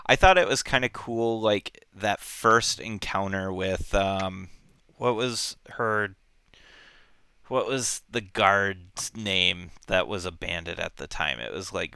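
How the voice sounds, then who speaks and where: Man, bright crisp voice, dry close sound, casual book talk, thinking aloud. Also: sits low, dry recording. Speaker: book club podcast host.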